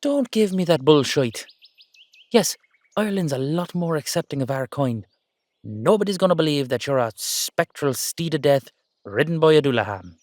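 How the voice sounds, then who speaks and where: A man's voice: fairly high pitch, lively intonation, normal speed, a little mellow, no echo, natural voice. Coaxing Irish dullahan, dry close recording acted by a man. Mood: exasperated. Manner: conversational, coaxing, teasing, slangy. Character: exasperated, affectionate Irish dullahan rider talking to his horse. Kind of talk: solo roleplay audio drama.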